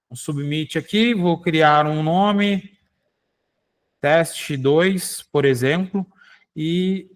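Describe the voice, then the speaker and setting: A man hosting a show, demonstrating software live and explaining it to listeners, often checking in with "tá?" and "né?". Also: a little high. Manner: explanatory, addresses listeners directly with frequent "tá?" and "né?". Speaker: radio show host.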